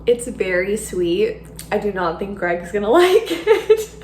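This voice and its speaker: A woman's voice: medium pitch, very animated, unhurried, darkish tone, a little hiss or hum. A young woman vlogging casually, chatty and upbeat, sounding congested from a cold. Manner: chatty, upbeat, lots of "like" fillers. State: congested, stuffy nose. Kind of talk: casual vlog chat to camera.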